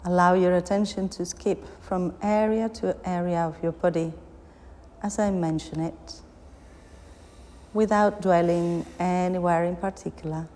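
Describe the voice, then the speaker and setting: A woman with a slightly low voice leads a guided meditation very slowly. She gives soothing instructions in short phrases, leaving long pauses between them. The recording has a long, hall-like reverb. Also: steady tone, warm tone. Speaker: yoga nidra meditation guide.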